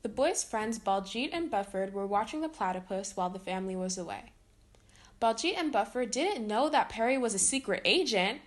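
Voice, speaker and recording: A woman's voice: mid-range voice, animated, normal speed. A woman reading a children's picture book aloud as a storytime reader.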